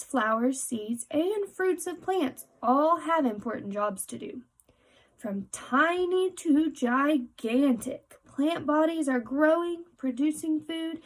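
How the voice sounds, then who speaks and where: Female teacher for picture book read-aloud: slow delivery, soft-edged husky tone, talks directly to kids. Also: high voice, low-quality recording. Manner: explanatory, addressing young listeners directly.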